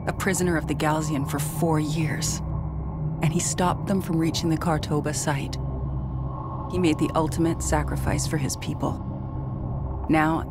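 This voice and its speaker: An actress playing a proud, solemn fleet operations officer recording a measured, reflective personal log in a sci-fi game. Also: female voice, low-pitched. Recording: loud music behind the voice.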